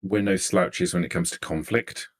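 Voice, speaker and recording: A man acts out a poised vampire noblewoman in a tabletop game. The voice sits low and chooses words formally and deliberately, polite with cool menace underneath. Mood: guarded, calculating. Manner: formal, measured, deliberate diction. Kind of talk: improvised tabletop roleplay.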